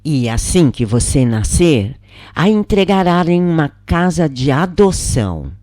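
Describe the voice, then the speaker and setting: Slow, solemn Brazilian spirit mentor, voiced by a woman. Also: low-pitched, very animated, dark tone, dry recording, clean studio sound, pitched above the performer's own voice. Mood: explanatory. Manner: solemn, explanatory. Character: calm spirit mentor counselling a soul before birth.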